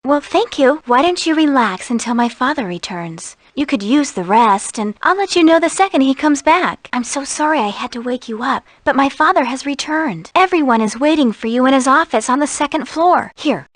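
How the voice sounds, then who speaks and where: A woman's voice: high-pitched, animated, moderate pace, hardly any pauses, dry recording. An actress voicing an earnest, caring young woman, a dutiful daughter, in a video game. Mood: warm, polite.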